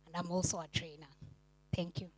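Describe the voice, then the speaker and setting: A woman's voice: fairly low pitch, flat delivery, brisk, breathy edge, low-quality recording. A woman who is head of recruitment and a trainer, introducing herself briefly on a panel with hesitant fillers.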